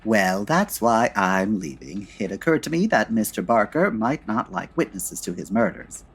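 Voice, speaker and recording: An actor playing a witty, unflappable gentleman sleuth in a comedy audio drama, talking in a suave, wry, old radio detective manner. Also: fairly low pitch, very animated, normal speed, husky, full character voice. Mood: dry, unruffled.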